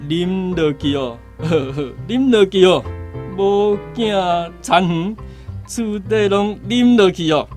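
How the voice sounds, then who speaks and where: A man's voice: high-pitched, slow pace. A man acting in a Taiwanese radio drama as a carefree, hearty drinker with a loose way of talking. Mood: jovial, coaxing. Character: carefree, laughing male drinker urging others to drink. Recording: plucked guitar music behind voice.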